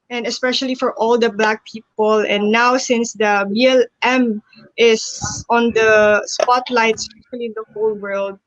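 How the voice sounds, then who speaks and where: A woman with a Filipino accent speaking as a guest in a casual video call, earnest and a little halting. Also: a little high, dry recording. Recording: dull dry call audio.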